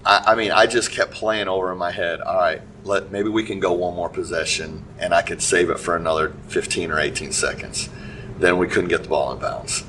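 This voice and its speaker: A middle-aged man, a basketball coach giving a halting post-game interview full of restarts and fillers. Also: sits low, fairly even intonation, sharp tone. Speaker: high school basketball coach. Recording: distant mic with faint background noise.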